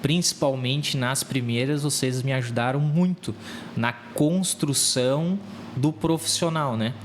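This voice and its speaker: Halting southern Brazilian man in his thirties. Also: mid-range voice, slight background noise. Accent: Rio Grande do Sul Brazilian Portuguese. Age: 30s. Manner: hesitant, repeats words while searching. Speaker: real estate agency owner, podcast guest. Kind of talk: casual interview talk.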